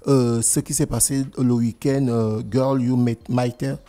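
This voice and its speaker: A man's voice: mid-range voice, flat delivery, a little slow, dark tone. A man hosting a radio morning show in the studio, asking questions and joking with guests. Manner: jokey, teasing. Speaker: radio host.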